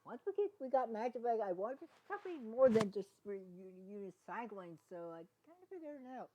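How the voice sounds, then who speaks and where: A woman reads as a young mare unicorn from a cartoon fan story. She is a well-meaning troublemaker, pitched up and eager to explain herself. Her delivery is sheepish and apologetic, tripping over words. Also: expressive, brisk, dark tone, dull audio, slight background noise, lightly acted.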